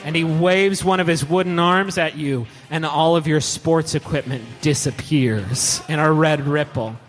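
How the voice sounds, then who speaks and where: A man's voice: a little high, unhurried. A man narrating a live tabletop game as game master, with dramatic, colloquial storytelling. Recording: echoey hall, live show with music.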